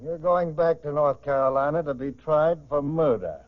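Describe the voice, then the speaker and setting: Man acting a tough cop on old radio, bossy, slightly high male voice, muffled 40s recording. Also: normal speed, lightly acted. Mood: stern, accusing. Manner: hard, authoritative. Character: stern, relentless male lawman. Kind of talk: 1940s radio crime drama acting.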